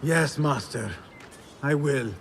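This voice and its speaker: A man's voice: mid-range voice, slow pace, creaky, slight background noise. An actor playing an earnest, respectful young male apprentice assassin in a video game. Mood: respectful, uncertain.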